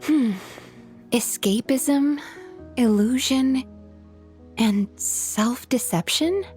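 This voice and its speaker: A gentle young woman speaks politely and thoughtfully in a fairly high voice. It is game character acting, with music underneath. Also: drawn-out words. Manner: polite, thoughtful, soft-spoken. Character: gentle, polite young female psychologist and aspiring writer.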